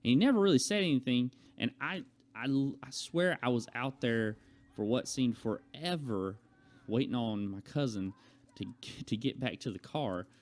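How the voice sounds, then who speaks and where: A man's voice: medium pitch, lively intonation, moderate pace. A young adult man chatting casually to viewers as a vlogger, telling a rambling personal story in a conversational way. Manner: conversational, acts out quoted remarks.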